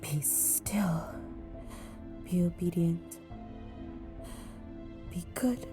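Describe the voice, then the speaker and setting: A woman giving measured, brooding first-person narration in an audio drama. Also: lowish voice, in a whisper. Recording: music bed behind.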